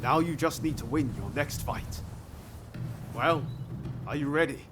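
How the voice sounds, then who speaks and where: An actor playing a loyal, encouraging companion to a samurai-era fighter in a video game, informal and supportive. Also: male voice, fairly high pitch, measured pace, background noise, lightly acted. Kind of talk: video game cutscene acting. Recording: loud music behind the voice. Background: music.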